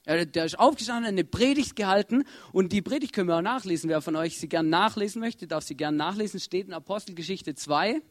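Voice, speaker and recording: A man's voice: high voice, fast, hardly any pauses, dry recording, clean studio sound. A man giving a casual, chatty Bible lesson to a group, often checking in with his listeners. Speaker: youth Bible teacher.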